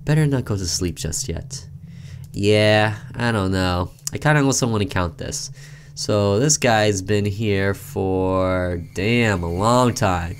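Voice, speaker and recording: A man's voice: fairly low pitch, slow pace, a little hiss or hum. A man doing casual let's-play commentary, reading a game's narration aloud and reacting informally as he goes. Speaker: gaming video host reading a game's text aloud.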